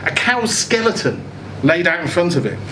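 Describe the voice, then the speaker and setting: A man giving an expressive live reading of a first-person short story. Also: fairly high pitch, moderate pace. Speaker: story reader. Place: indoor event venue with audience. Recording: echoey room, clear background noise.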